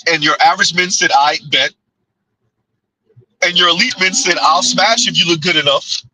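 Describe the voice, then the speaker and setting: A man's voice: fairly high pitch, moderate pace, bright, forceful tone, slightly rough, no room sound, poor audio. A man hosting a livestream, giving casual commentary, reading chat aloud and laughing often as he answers viewers. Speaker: livestream host.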